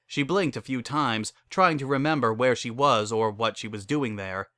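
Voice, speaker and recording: A man narrates a story as a dramatic reading. His voice is bright and mid-pitched, recorded very dry and close. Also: normal speed, no echo. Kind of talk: dramatic reading of fan fiction.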